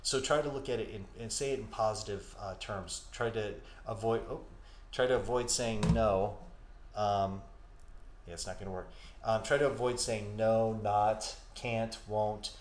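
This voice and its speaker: A man's voice: fairly low pitch, fairly even intonation, measured pace. A man co-hosting a live psychic podcast, giving readings and advice in a hesitant, reassuring way full of "um"s. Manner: hesitant, filler-heavy, reassuring. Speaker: psychic medium podcast co-host. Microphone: studio mic. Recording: echoey room with faint background noise.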